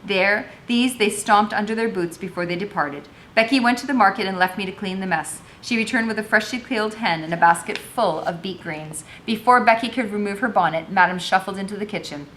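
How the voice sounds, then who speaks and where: A woman's voice: mid-range voice, a little fast, few pauses, fairly bright tone, slight background noise. A woman teacher reading a novel aloud, moving between narration and informal explanatory commentary.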